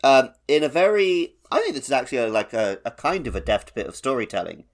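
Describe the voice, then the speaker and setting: A man's voice: medium pitch, big pitch swings, fast. A man co-hosting a video game podcast, talking things over in casual chat. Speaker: podcast co-host.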